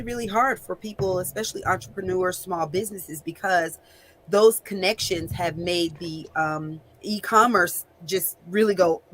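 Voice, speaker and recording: A woman's voice: medium pitch, fairly bright tone, rough recording. A woman talking as a panelist in a casual radio discussion, conversational and thoughtful. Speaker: talk show panelist, entrepreneur. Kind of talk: casual unscripted radio talk.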